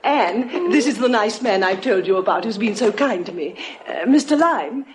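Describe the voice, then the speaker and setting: A woman's voice: medium pitch, animated, moderate pace, slight background noise, close to an everyday voice. An actress playing a refined, hesitant noblewoman, in 1940s radio acting. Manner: hesitant, genteel, many ums.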